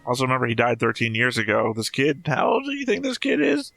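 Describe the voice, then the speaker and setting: A man's voice: mid-range voice, expressive, fast, creaky, fairly bright tone, dry recording, faint music behind. A man hosting a casual reaction show, breaking down scenes with wry, blunt commentary.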